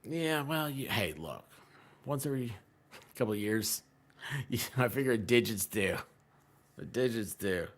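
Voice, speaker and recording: A man's voice: medium pitch, expressive, unhurried, long pauses. A man co-hosting a livestream with casual, playful banter and scattered asides. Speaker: livestream co-host.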